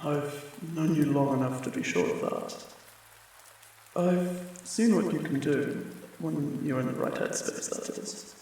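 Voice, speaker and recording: A man playing a friendly, apologetic sleep paralysis demon in an ASMR comfort roleplay, speaking gently and haltingly, with reassurance. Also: on the high side, very animated, vocal fry, full character voice. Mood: reassuring, supportive. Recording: very echoey, distant, rain sounds behind. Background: rain.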